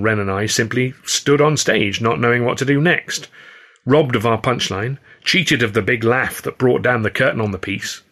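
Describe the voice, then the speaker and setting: Male audiobook narrator, first-person fiction, expressive, bright tone with some rasp. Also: fairly low pitch. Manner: expressive storytelling with acted dialogue. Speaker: author reading own novel.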